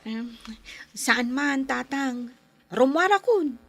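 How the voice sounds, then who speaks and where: High, swooping, self-centred young Filipina. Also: female voice, expressive, slow, vocal fry, a bit bright, no echo, exaggerated voice. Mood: dutiful. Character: self-centred, proud young working woman in love. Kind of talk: Filipino radio drama acting in Ilocano.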